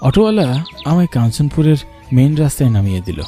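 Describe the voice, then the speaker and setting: Man acting an earnest young man, mellow, expressive. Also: mid-range voice, fast talker, faint music behind, natural voice. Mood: wondering, uneasy. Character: earnest young man narrating his eerie village journey. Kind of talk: scripted first-person story narration.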